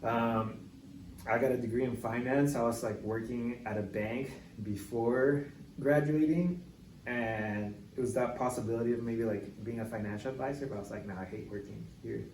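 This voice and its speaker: A young adult man from Mexico City, a real estate agent guest telling his story in a relaxed, casual way, with lots of "like"s. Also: medium pitch, normal speed. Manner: relaxed, filler-heavy storytelling with many "like"s. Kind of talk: casual interview chat. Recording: echoey, distant mic with clear background noise.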